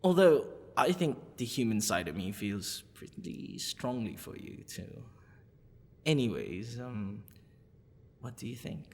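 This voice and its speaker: A man playing a smitten, possessive half-dragon librarian in a boyfriend ASMR roleplay, with a halting, stammering, husky delivery. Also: medium pitch, big pitch swings, darkish tone, exaggerated voice. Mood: tender, sheepish. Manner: halting, stammering, breathy sighs and nervous laughs. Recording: long reverberant echo.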